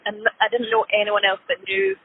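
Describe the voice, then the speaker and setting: A woman's voice: mid-range voice, steady tone, a little fast. A woman talks casually and personally as an interview guest. She sounds as if on a phone, with a thin band and faint train noise behind her. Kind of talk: casual chat, personal recollection. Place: on a train while commuting. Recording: noisy phone-like line on a train.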